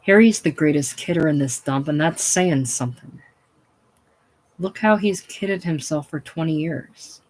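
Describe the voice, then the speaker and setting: Falsely cheerful salesman, cajoling and loud, played by a reader. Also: medium pitch, measured pace, low-quality recording. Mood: jovial. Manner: jovial, cajoling, raised and bright. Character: hearty pushy male salesman, falsely cheerful.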